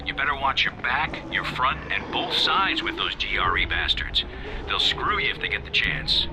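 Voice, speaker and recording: A brisk contact calling out directions over a radio, his pitch lively. Clear noise and city ambience sit behind the line. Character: gruff male radio contact guiding the hero.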